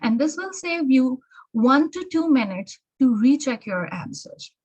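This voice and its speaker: A woman's voice: high voice, sing-song, measured pace, a little mellow, slightly rough, dull audio. Chatty woman giving exam tips. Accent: learned American English. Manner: chatty, repeats words and restarts often. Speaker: exam prep instructor. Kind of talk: informal exam tips talk.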